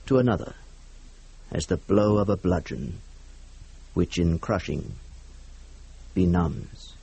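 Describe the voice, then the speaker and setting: Slow, husky male radio announcer. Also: deep voice, dark tone. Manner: solemn, measured, theatrical recitation. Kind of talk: formal literary introduction, old-time radio.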